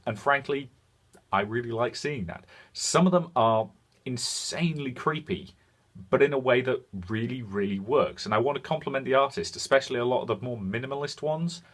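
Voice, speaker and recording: A man hosting a video game review, speaking frankly in semi-scripted commentary with deliberate pauses for emphasis. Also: mid-range voice, a bit bright.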